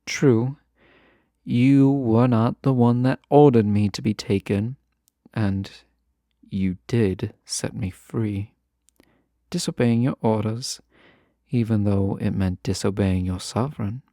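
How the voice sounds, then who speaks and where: A man playing a lovestruck, wistful fantasy nobleman in a romantic audio roleplay, speaking formally and tenderly, with halting phrases. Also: fairly low pitch, steady tone, warm tone, no room sound, clean studio sound. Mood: wry. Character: lovestruck fantasy nobleman, wistful, teasing, formerly held captive.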